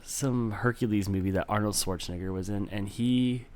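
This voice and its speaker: A man's voice: lowish voice, normal speed, a little mellow. A middle-aged American man co-hosting a podcast with casual, joking, self-deprecating banter. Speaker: podcast co-host.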